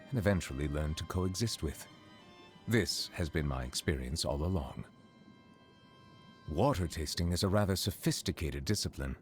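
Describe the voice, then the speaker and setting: An actor playing a dignified, reserved Chief Justice in a video game, speaking in a formal, measured, precise and earnest way. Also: male voice, low-pitched. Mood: grave, resigned, then pedantic, earnest. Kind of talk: scripted video game character monologue.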